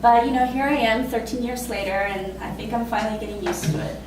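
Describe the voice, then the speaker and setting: A woman in her twenties from Costa Rica, telling her own story in a casual, halting way with many fillers and laughs. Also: on the high side, very echoey, far from the mic, noisy background. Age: 20s. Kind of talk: personal spoken testimony.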